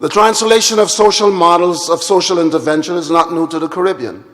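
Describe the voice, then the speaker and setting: A man from Guyana, giving a formal and deliberate plenary address at a conference. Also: high-pitched, a bit bright. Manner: measured, deliberate and formal, with long pauses. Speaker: conference speaker. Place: large conference hall. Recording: hall reverb.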